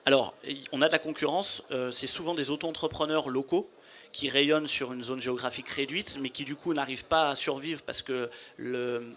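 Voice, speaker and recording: A man's voice: medium pitch, steady tone, a bit bright. Businesslike man at a trade fair booth. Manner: measured, explanatory, businesslike. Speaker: company founder. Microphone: handheld mic.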